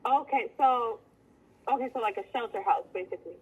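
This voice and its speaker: A woman's voice: fairly high pitch, fairly quick, husky. A woman chatting casually on the phone, polite, agreeable and unruffled. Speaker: property owner answering a phone call. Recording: noisy phone-call recording.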